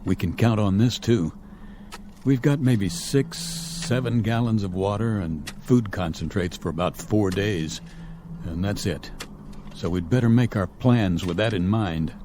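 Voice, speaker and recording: Man acting as low-voiced, stern military commander. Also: warm tone, a little hiss or hum, natural voice. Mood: resolute, matter-of-fact. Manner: authoritative, measured command. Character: stern, level-headed male military colonel commanding stranded space crew. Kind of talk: radio drama acting.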